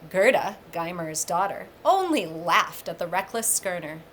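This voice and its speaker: A woman reading a myth aloud in expressive, deliberate storybook narration, with noise in the recording. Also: fairly low pitch. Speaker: story reader for a public library. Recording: clear background noise.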